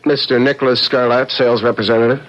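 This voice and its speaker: A man's voice: medium pitch, steady tone, quick pace, muffled recording, background noise, close to an everyday voice. An actor playing an eager, stubborn young man with a scheme, in a 1970s radio drama, probing and a little hesitant. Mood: puzzled, insistent.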